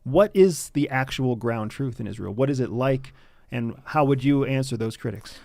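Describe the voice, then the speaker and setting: A man hosts an interview, framing topics and pressing his guest with pointed questions. His voice sits at a middle pitch. The recording is dry and close. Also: normal speed, vocal fry, darkish tone, no echo. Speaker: interview host.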